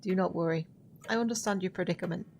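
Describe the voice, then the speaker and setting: A woman's voice: lowish voice, quick pace, darkish tone. A woman acting a mysterious, courteous witch hostess in an audio drama. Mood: knowing.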